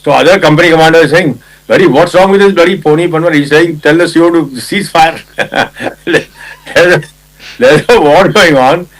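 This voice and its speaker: An older Indian man, a retired army officer, recounting a battle in conversational detail, with a loud commanding voice, acting out quotes and often clearing his throat. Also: on the high side, normal speed, poor audio. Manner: loud commanding voice, vivid retelling with acted quotes. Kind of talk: conversational war storytelling.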